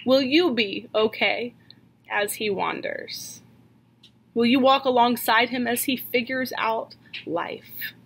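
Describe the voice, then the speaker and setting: Woman, thirties, pastor, slow earnest sermon, webcam at home, higher voice. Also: a bit bright, breathy edge. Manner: earnest, questioning, slows for emphasis. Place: home office. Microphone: webcam at desk.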